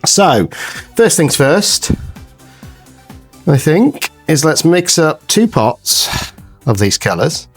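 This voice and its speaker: A man with a slightly croaky, cold-affected voice, chatting casually to viewers as he hosts a craft tutorial. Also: fairly high pitch, sing-song, slow, pauses often, soft background music. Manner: chatty, addresses viewers as guys. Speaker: craft channel host.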